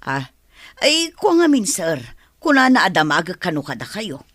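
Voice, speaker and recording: A woman's voice: on the low side, sing-song, normal speed, a bit bright, dry recording, clean studio sound. A woman with a Filipino accent acting a hesitant, deferential, apologetic character in a radio drama. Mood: flustered, apologetic.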